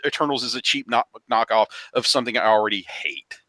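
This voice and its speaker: A man's voice: mid-range voice, fast, sharp tone, no room sound, low-quality recording. A man on a podcast panel, debating casually in a blunt, opinionated way.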